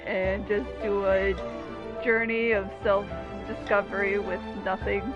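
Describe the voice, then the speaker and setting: A woman's voice: medium pitch, little pitch movement, takes it slowly, lo-fi sound. A woman giving casual, informal, reactive commentary over a game playthrough. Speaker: gaming video commentator. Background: game music.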